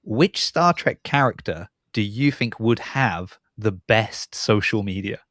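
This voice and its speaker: Podcast host guy, lowish voice, really dry sound with no room, chatting casually. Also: expressive, slow, fairly bright tone, no echo. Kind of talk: casual interview chat.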